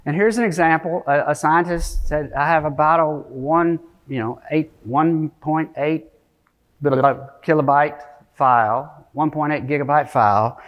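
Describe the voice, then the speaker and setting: A man's voice: on the high side, measured pace. A middle-aged man giving an informal technical keynote in a conversational manner. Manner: conversational, frequent tag questions like "okay?" and "right?"; frequent throat clearing. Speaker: conference keynote speaker. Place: conference stage, speaking from a podium area to an audience.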